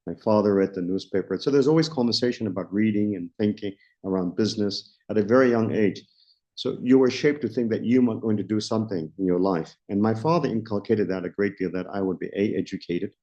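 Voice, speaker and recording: Low, mellow South African man around sixty. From Johannesburg, South Africa. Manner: measured, searching for words, many restarts. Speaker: interview guest, company founder. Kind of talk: reflective interview answers. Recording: dull lo-fi recording.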